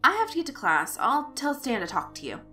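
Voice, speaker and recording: A woman's voice: fairly high pitch, huge pitch swings, brisk, sharp tone, voice pushed up, exaggerated voice. Woman reading as a guarded, casual college guy. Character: young male college student, guarded yet boldly probing. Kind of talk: acted story dialogue. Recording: music behind the voice.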